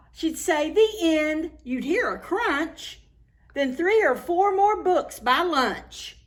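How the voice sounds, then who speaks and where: A woman reading a picture book aloud to preschoolers as a story time host, expressive and full of exclamations. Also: high voice, slow pace. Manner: expressive, exclaiming, talks to young children. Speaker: children's librarian hosting story time.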